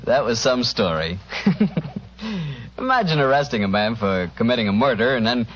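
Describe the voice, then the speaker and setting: Lively American 1940s radio announcer man. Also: medium pitch, moderate pace, husky. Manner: folksy, chatty, salesmanlike. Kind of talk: 1940s radio sponsor pitch and scripted banter. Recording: dull, noisy 1940s radio recording.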